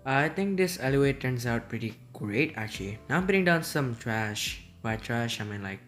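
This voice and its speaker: Man, relaxed game commentary, meandering and unhurried, medium pitch, soft music underneath. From Indonesia. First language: Indonesian. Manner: rambling, easygoing, hesitant. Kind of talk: casual gameplay commentary. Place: at home.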